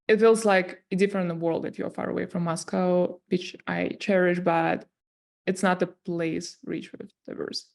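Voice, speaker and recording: A woman's voice: lowish voice, flat delivery, a little slow, gravelly, a little mellow. A woman with a strong Russian accent, a guest in an interview, telling her story in earnest with frequent fillers. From southern Russia. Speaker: guest, tech company CEO.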